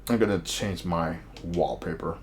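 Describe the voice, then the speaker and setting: A man livestreaming a game, chatting casually in slangy, animated commentary and reading on-screen text aloud. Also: deep voice, a little slow, slight background noise.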